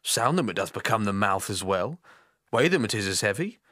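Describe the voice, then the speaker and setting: A man playing a bitter, scheming Roman nobleman in classical Shakespearean style, declamatory, deliberate and persuasive. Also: lowish voice, big pitch swings, pushed and bright, dry recording, clean studio sound, full character voice. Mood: scornful, persuasive. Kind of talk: classical Shakespearean stage acting.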